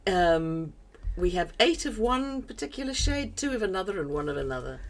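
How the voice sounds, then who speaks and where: A woman's voice: on the low side, big pitch swings, moderate pace. An older woman with a South African accent mixed with English, talking earnestly and emphatically as an interview guest. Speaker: guest, theatre show creator. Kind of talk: conversational interview.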